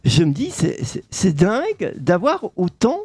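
A man's voice: sits high, huge pitch swings, measured pace, darkish tone, slightly rough, no echo. A man hosting a radio interview, asking questions in a friendly, chatty way. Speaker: radio host.

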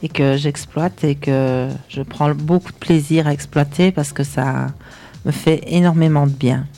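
A young woman, a singer chatting in an interview and pausing often with fillers. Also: sits low, steady tone, dark tone. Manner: chatty, hesitant with many fillers. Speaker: singer guest. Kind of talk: casual interview chat.

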